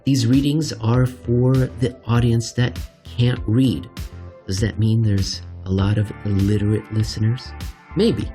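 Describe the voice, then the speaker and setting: A man hosting a reading of a written commentary, narrating with theatrical, wry emphasis, deliberate pauses and casual asides. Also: lowish voice, steady tone, warm tone, faint music behind. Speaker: host reading an article aloud.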